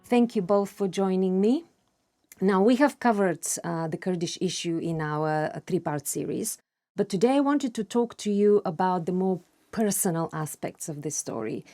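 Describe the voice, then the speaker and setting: Woman, interview host, lowish voice, warm mellow tone, faint music behind. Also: animated, moderate pace. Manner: conversational, some fillers. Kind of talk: broadcast interview hosting.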